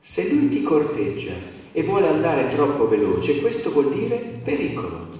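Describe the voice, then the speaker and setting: An actor playing a grave narrator who recites warnings in an emphatic, measured theatrical style. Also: male voice, on the high side, huge pitch swings, mellow, distant mic. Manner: emphatic, measured, repeated refrain. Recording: echoey hall from afar, music behind.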